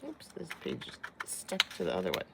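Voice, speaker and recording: A woman's voice: a bass voice, wildly swooping pitch, normal speed, creaky, heavy background noise. A woman teacher reading a children's picture book aloud, expressively, drawing out phrases and speaking directly to young listeners.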